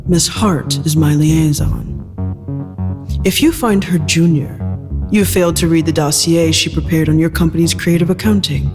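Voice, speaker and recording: A man's voice: a little high, steady tone, close to an everyday voice. A man voicing a frosty, imperious woman boss. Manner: frosty, unhurried, dismissive. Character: cold, imperious female corporate boss, dry and menacing. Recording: long reverb, music behind.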